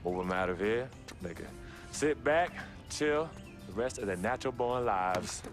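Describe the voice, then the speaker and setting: An actor playing an earnest, relaxed American teenage basketball hopeful, talking in slang in a video game story. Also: male voice, mid-range voice, normal speed, over music, close to an everyday voice. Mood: easygoing, hopeful.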